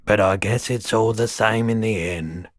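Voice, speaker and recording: An actor playing a laid-back, world-weary pawnshop owner in a video game, husky, soft-spoken and wistful, with an unhurried, low-key delivery. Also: male voice, no echo, studio quality, close to an everyday voice. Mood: musing, wistful. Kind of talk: video game character dialogue.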